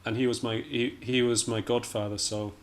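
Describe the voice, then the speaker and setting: A man's voice: mid-range voice, level tone, moderate pace. A man from Scotland chatting casually as an interview guest, in a hesitant, understated and self-deprecating way. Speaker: interview guest, jazz pianist and musician.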